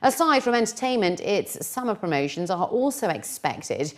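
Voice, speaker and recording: A woman's voice: mid-range voice, sing-song, normal speed. A woman reading scripted television news as a presenter.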